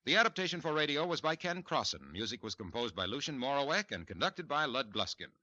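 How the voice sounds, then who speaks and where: A male radio announcer reads the closing credits in a formal, measured way. His voice is bright and lively. The old recording is dry and dull. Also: medium pitch, no room sound.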